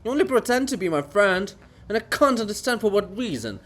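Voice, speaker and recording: A man's voice: high-pitched, very animated, brisk, a bit bright, voice pushed up, full character voice. A man voicing a living monument of a haughty, paranoid genius in a theatrical farce reading, declaiming and ranting. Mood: suspicious, accusing.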